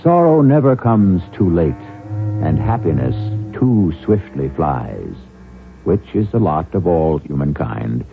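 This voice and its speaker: A man's voice: low voice, sing-song, slow, creaky. A man hosting a 1970s radio mystery drama in a theatrical, portentous manner. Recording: muffled 1970s radio broadcast with music.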